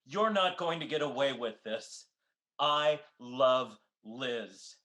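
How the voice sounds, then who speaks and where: Man playing an exasperated, forceful radio-sitcom husband. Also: mid-range voice, animated, slow. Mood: indignant, earnest. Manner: emphatic, indignant theatrical delivery. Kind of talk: old-time radio sitcom acting.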